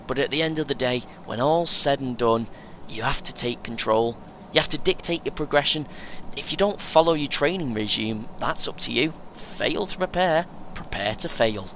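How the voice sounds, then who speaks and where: A man acting a bright-voiced, boastful young British runner. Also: expressive, normal speed. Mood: eager, preachy. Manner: earnest, self-important, chatty, chuckles often. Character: chatty, boastful, eager young amateur marathon runner. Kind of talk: audio play dialogue. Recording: faint vehicle noise behind. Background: vehicle rumble, coach ambience.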